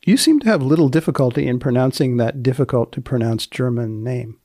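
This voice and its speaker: A man hosting a podcast, delivering scripted introductions and questions in a measured, deadpan way. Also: medium pitch, dry recording, clean studio sound. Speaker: podcast host.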